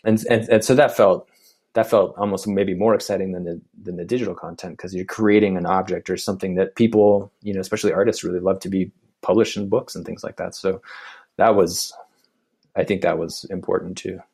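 Casting: low-voiced man, halting casual interview talk, lots of fillers and restarts. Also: steady tone, darkish tone, slightly rough. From United States. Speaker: arts organization staff member.

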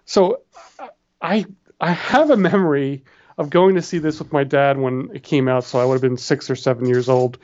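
A man's voice: medium pitch, moderate pace, a little mellow, lo-fi sound. A man in his forties co-hosting a podcast, chatting casually and rambling through memories. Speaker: podcast co-host. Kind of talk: casual movie chat.

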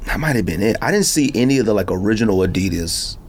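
A man's voice: lowish voice, expressive, fast, slightly rough. A man hosting a podcast, talking in a casual, slangy, conversational way. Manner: informal slangy talk. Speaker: podcast host. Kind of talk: casual sneaker podcast chat.

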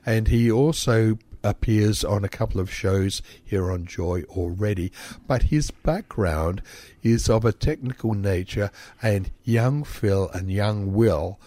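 A man's voice: on the low side, takes it slowly, few pauses, dark tone, no echo. An Australian man hosting community radio, chirpy and teasing, with quaint phrasing. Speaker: radio host. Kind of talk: casual radio chat.

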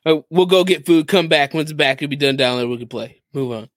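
Male co-host, somewhat high voice, close dry sound, wry casual banter. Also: a little fast, a bit bright, no room sound. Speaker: podcast co-host. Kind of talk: casual chat.

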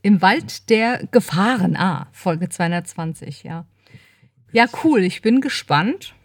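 A woman's voice: mid-range voice, animated, takes it slowly, no room sound. A woman co-hosting a podcast, chatting in a casual, informal way into a close microphone. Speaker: podcast co-host. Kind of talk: casual chat.

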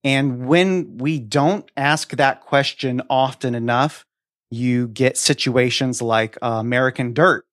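A man's voice: medium pitch, fairly even intonation, takes it slowly, fairly bright tone, no room sound. A man with a mid-range voice speaks as a podcast co-host. His delivery is conversational, as in a panel discussion. The recording is dry and free of room sound.

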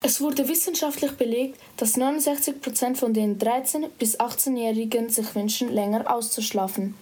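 A woman's voice: a little high, fairly even intonation, moderate pace, warm tone, breathy edge. A teenage female student reporter reading a scripted report.

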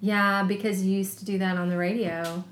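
A woman co-hosting a casual podcast, talking in a loose, chatty way and often laughing at herself. Her voice sits at an ordinary pitch for a woman. The room around her is roomy and echoey. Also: steady tone, normal speed, slight background noise. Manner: chatty, laughs easily, self-mocking. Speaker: podcast co-host, chef. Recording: roomy echo.

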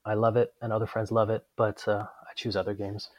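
A man raised abroad, answering interview questions as a guest game designer in a relaxed, matter-of-fact way with dry humor. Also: fairly low pitch, brisk, dry recording. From Netherlands, raised abroad.

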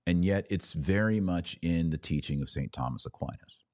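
Very deep-voiced man teaching religion. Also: a little mellow, no room sound, studio quality. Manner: didactic, deliberate, with emphatic pauses between phrases. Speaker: podcast host and religious teacher.

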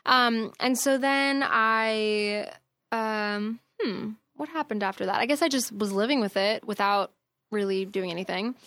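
A woman's voice: mid-range voice, unhurried, fairly bright tone, no echo. A young woman talking casually as a podcast guest, chatty, with lots of fillers and laughs. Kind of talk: casual conversation.

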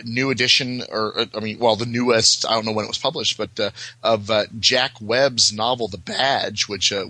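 A man talks casually as a guest on a podcast. His voice is bright and forward, with a very dry sound. He halts and doubles back on words as he searches for the next one. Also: on the low side, dry recording, low-quality recording. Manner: halting, repeats words while searching for them. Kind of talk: casual chat.

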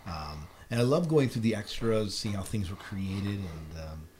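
A man's voice: deep voice, animated, normal speed, darkish tone. A middle-aged American man hosting a podcast, chatting casually about what he has been watching and reading. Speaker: podcast host. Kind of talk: casual chat about shows and films. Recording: clearly noisy podcast recording.

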